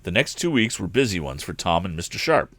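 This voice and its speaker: A man narrating an adventure novel aloud as a storyteller, with an expressive read-aloud delivery. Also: low-pitched, a little fast, no room sound. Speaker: storyteller, live stream host.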